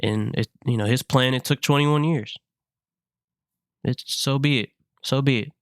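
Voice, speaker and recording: A man in his twenties, sick, talking in a halting, earnest way, often repeating himself in a personal vlog. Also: medium pitch, dry recording, clean studio sound. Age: 20s. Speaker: vlogger.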